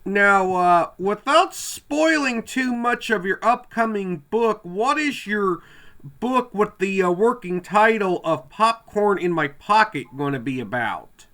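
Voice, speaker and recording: A male podcast host with a high, bright voice that swings widely in pitch. He reads his introduction and questions from a list, pausing on "uh" between phrases. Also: expressive. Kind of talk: scripted intro and interview questions.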